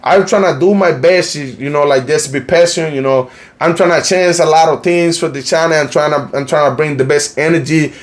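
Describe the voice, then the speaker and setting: Young colombian guy, spanish accent, rambling vlog chat, lots of "you know like", voice a bit on the high side. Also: normal speed. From Cartagena, Colombia. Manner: rambling, heavy use of "you know, like", frequent restarts. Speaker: YouTube news channel host. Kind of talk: casual commentary vlog.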